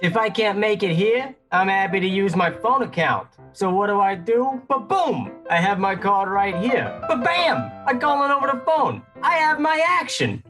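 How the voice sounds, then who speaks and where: An actor playing an excitable, exclamatory gambler in a comedic radio ad, talking rapid-fire. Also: medium pitch, faint music behind. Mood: hyped up. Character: hyper, excitable phone-account gambler in a spoof ad.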